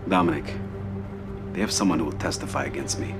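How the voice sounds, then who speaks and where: Cold, deep-voiced American mafia boss. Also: male voice, over loud music. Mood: grave. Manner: measured, terse, authoritative. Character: cold, commanding middle-aged male mafia boss. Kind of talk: video game cutscene acting.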